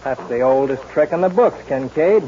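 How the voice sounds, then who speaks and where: An actor playing a resolute, fearless young cowboy with a firm, commanding manner in a 1940s western radio drama. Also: male voice, mid-range voice, lively intonation, normal speed, mellow, natural voice. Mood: stern, defiant. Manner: firm, commanding, plain-spoken. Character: resolute young cowboy avenging his father, fearless and stern. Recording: noisy muffled 1940s radio recording.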